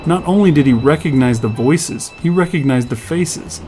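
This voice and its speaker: A man's voice: fairly high pitch, moderate pace, music in the background. A man narrating scripted fiction as a storyteller.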